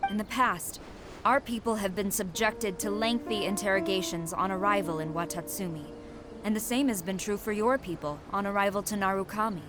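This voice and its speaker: A woman's voice: medium pitch, brisk, lightly acted. A woman voicing a stern female commander in a video game, firm and formal.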